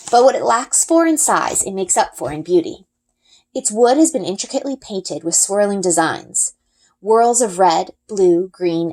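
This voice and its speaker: A woman narrating a children's book as an expressive read-aloud. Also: on the low side. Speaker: story reader.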